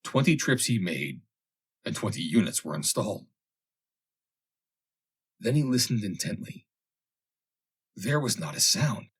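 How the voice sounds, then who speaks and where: A man reading a vintage humorous story aloud as scripted narration, with dramatic, expressive delivery. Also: fairly low pitch, measured pace, long pauses, husky. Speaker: audiobook reader.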